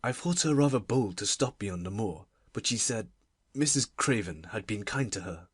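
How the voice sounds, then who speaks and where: A woman voicing a miserable, absent-minded middle-aged gentleman in an audiobook, husky, fretful and muttering. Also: a bass voice, animated, moderate pace, no room sound, studio quality, voice pushed down, full character voice. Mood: weary, worried.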